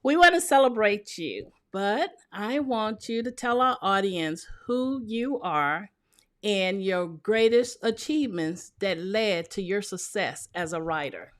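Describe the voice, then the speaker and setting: A woman's voice: medium pitch, lively intonation, measured pace. A woman hosting a podcast interview, friendly, with read-through introductions and questions. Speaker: podcast host.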